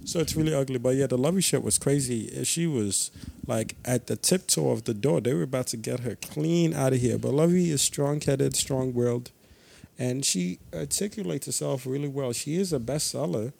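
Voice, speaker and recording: Loud gravelly young man, casual banter. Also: medium pitch, moderate pace. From Ghana. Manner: loud, animated, slangy. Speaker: podcast co-host.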